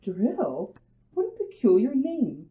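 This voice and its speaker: A woman playing an amused, indulgent mother who gently chides her child in a radio drama. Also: on the high side, huge pitch swings, slow pace, exaggerated voice. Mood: gently chiding. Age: adult. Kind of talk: old-time radio drama acting. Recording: muffled, roomy radio drama recording.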